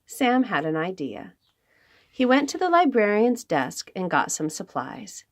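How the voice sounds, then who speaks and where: A woman reading aloud to young children as a storytime host, expressive, with dramatic emphasis and pauses. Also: mid-range voice.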